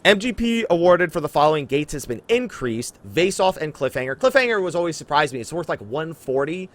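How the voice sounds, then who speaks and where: A man hosting a casual gaming podcast, talking in an animated way and exaggerating for emphasis. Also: fairly high pitch, brisk. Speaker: podcast host. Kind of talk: casual game podcast chat.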